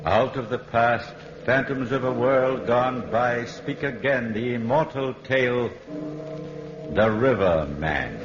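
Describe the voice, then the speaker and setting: A man's voice: medium pitch, takes it slowly, over loud music. A man narrating a vintage radio drama in a solemn, dramatic manner. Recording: muffled, noisy old radio recording.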